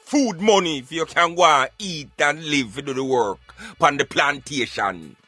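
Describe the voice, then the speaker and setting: A man with a Jamaican accent giving emphatic, argumentative, streetwise opinions in animated radio banter. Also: on the high side, normal speed, faint music behind. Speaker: radio show host.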